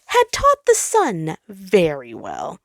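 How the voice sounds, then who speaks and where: A woman's voice: fairly low pitch, wildly swooping pitch, slow pace, gravelly, dry recording. A woman reading fan fiction aloud as narrator, in a dramatic storytelling style.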